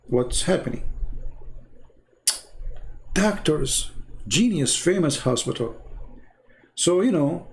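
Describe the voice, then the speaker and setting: Gravelly man, rambling and scornful. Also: mid-range voice, dark tone. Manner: rambling, scornful, repeats himself. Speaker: online commentator. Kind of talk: casual monologue.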